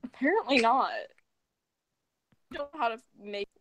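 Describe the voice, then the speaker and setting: A young woman talking casually on a live stream through her phone, in a flat monotone. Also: a little high, takes it slowly. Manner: flat, self-described monotone, mumbling. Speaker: guest. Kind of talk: casual chat. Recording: dry phone audio.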